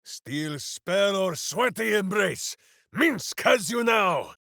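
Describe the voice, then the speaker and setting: An actor voicing a big, simple-minded, hearty berserker hero in a fantasy video game, declaiming with bombastic earnestness. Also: male voice, high-pitched, lively intonation, takes it slowly, vocal fry, bright, forceful tone, no echo, studio quality, heavily acted. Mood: battle fervor. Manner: bombastic, earnest, grandly declaiming, speaks of himself by name. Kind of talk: theatrical fantasy video game character acting.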